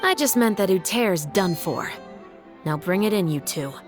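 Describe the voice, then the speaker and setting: A woman voicing a composed, dutiful female officer in a video game, speaking in a dry, formal, measured way. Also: mid-range voice, expressive, natural voice. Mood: wry. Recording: music behind the voice.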